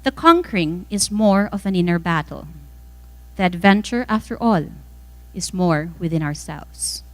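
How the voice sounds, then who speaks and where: A woman reading an inspirational welcome speech from a script, with deliberate, dramatic phrasing and measured pauses. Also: fairly low pitch, lively intonation. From the Philippines. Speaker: event speaker reading welcome remarks on another's behalf.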